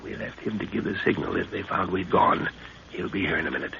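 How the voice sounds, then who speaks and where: A man's voice: low voice, fast, creaky, pushed and bright, exaggerated voice. A middle-aged man playing a resolute father and jungle adventurer, clipped, urgent and commanding, in 1930s radio drama style. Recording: dull, hissy 1930s radio recording.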